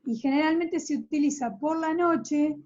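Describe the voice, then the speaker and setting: A woman's voice: high-pitched, normal speed, a little mellow. A woman with an Argentine accent patiently explaining medication details in a video call. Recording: dull lo-fi video call audio.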